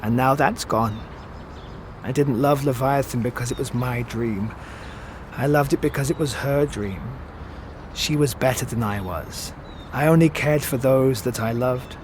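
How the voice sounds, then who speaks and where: An actor playing a wistful, evasive immortal man in an audio drama, speaking with theatrical eloquence that turns halting when he is pressed. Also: medium pitch, a little hiss or hum, lightly acted. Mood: grieving, bitter.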